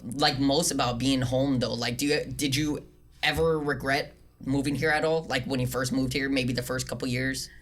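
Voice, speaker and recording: A man's voice: mid-range voice, steady tone, moderate pace, a bit bright. A man from Seattle hosting a casual podcast chat, easygoing and rambling, with lots of fillers and restarts. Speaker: podcast host.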